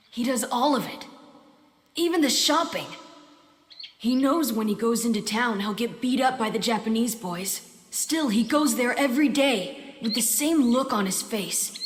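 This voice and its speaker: A woman's voice: on the high side, slight background noise, natural voice. A woman voicing an indignant, hot-tempered young boy who acts and narrates his memories in an audio drama. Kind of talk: audio drama acting with first-person narration. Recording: long reverb, music behind the voice. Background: background music.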